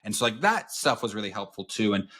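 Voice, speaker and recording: A man's voice: sing-song, fast, sharp tone. A man co-hosting a book podcast, talking casually with lots of fillers and acting out quotes. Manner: filler-heavy, lots of "like", acts out quotes in a high voice. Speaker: podcast co-host. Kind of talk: casual chat about a book.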